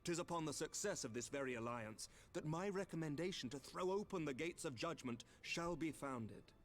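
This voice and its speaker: Mężczyzna czytający szlachetnego pana z mową. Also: male voice, a little high, animated, slight background noise, voice pushed up, lightly acted. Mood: formal. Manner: measured, formal oratory. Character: noble male lord giving a formal speech.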